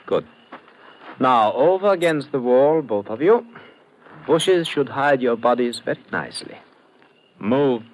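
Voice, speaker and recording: An actor playing a coolly threatening, mock-polite killer in a 1950s radio drama. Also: male voice, mid-range voice, lively intonation, measured pace, long pauses, natural voice. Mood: mock-polite threat. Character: smooth, menacing killer villain holding a gun. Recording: noisy old radio drama recording.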